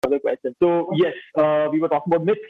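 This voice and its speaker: A man hosting a panel discussion, upbeat, prompting each guest with quick praise. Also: on the high side, fairly even intonation. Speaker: host. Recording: dull lo-fi audio.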